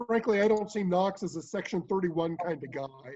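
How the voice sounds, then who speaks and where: Measured man on dull call audio. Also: sits high, animated, a little mellow. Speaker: audio drama writer on a cast panel. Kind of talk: casual live-stream panel chat.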